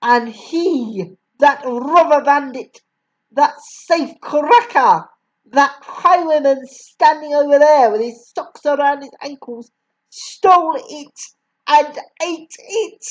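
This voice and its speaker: A woman voicing a bullying, brawny headmistress who barks and shouts insults, pitched well above her own reading voice. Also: lively intonation, slow, hardly any pauses, highs cut off, heavily acted. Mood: furious.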